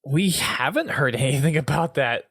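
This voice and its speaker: A man's voice: on the high side, expressive, normal speed, fairly bright tone, slightly rough, dry recording, studio quality. A man from the United States hosting a casual gaming podcast in a conversational way. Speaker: podcast host.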